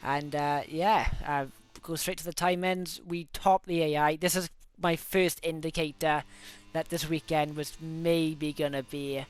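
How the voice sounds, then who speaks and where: Young welsh man, slightly higher voice, smooth clear tone, cold coming on, chatty, lots of ums. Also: level tone, unhurried, few pauses. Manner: chatty, self-correcting, many fillers. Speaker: gaming video host. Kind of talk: casual gameplay commentary.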